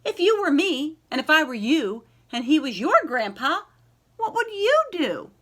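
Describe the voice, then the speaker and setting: A woman voicing an earnest, brave second-grade girl in a children's storybook, speaking in a halting, earnest way. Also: high voice, very animated, fairly bright tone, voice pushed up, full character voice. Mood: earnest challenge.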